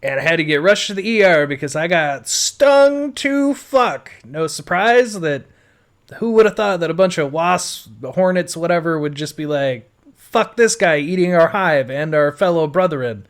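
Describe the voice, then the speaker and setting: An American man with a moderately high voice tells stories from his own life. His delivery is casual and rambling, with loose, blunt swearing, in the manner of a podcast host talking off the cuff. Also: lively intonation, normal speed, clear, resonant tone. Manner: rambling, foul-mouthed. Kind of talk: casual autobiographical storytelling.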